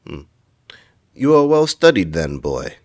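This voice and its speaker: Skeptical king, low, expressive. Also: unhurried. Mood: imperious. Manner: commanding, skeptical. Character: impatient king, grave and probing.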